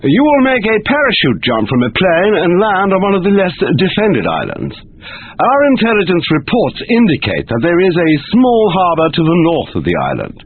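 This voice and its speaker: An actor playing a commanding military officer giving a formal, emphatic mission briefing in a video game, through a radio-like filter. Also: male voice, high voice, wildly swooping pitch, moderate pace, slightly rough, no echo, full character voice. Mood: commanding, urgent. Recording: tinny radio-like band, faint noise.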